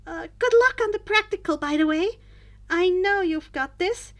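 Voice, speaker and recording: A woman's voice: animated, moderate pace, fairly bright tone. A woman voicing a timid, anxious teenage boy student with a stammer, in a storybook reading. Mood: friendly, reassuring. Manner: stammering, squeaky, flustered.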